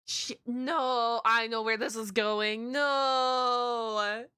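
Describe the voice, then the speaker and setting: A woman's voice: fairly high pitch, steady tone, extremely slow, pushed and bright, clear, resonant tone, no echo, studio quality. A woman chatting casually as a reaction streamer, informal and slangy, often exclaiming. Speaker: reaction video host, streamer.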